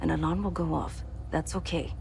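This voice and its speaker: An actress playing a cool, vengeful thief leading a heist in a video game, terse, commanding and self-assured. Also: female voice, sits low, fairly even intonation, normal speed, creaky, darkish tone. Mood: cool, determined. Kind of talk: video game cutscene acting. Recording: noisy vehicle and ambient effects behind.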